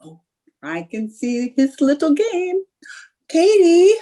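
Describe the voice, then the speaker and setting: A woman's voice: extremely high pitch, big pitch swings, slow pace, warm tone, heavily acted. A woman playing a scheming, melodramatic young housewife in an old-time radio comedy, with theatrical delivery.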